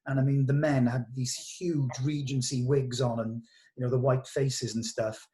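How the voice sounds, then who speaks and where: A man's voice: medium pitch, flat delivery, moderate pace, dark tone. Man in a casual planning chat. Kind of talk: casual meeting discussion.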